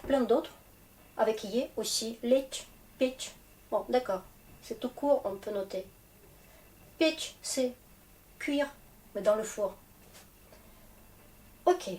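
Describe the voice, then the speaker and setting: A woman with a Russian accent teaches at an unhurried pace. Her voice sits a little high, and she sounds stuffed up from a cold. She talks patiently and repeats things carefully for learners. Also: darkish tone. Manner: slow, patient, repeats and spells out endings. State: has a cold. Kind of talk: slow, friendly language lesson.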